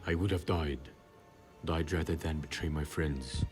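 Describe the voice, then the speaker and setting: A man's voice: very deep voice, steady tone, measured pace, soft background music. A man playing a vengeful, bitter wizard wronged by a traitor in an audio drama. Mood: outraged, bitter.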